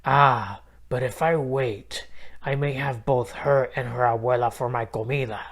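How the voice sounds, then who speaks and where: Man playing a sly hungry storybook coyote, unhurried. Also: mid-range voice, fairly even intonation, natural voice. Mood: sly, scheming. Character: sly hungry male coyote villain, snapping and snarling. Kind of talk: children's storybook read-aloud.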